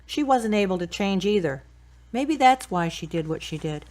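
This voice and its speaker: A woman's voice: medium pitch, lively intonation, normal speed, darkish tone, close to an everyday voice. A woman playing a candid, teasing grown sister in an audio drama.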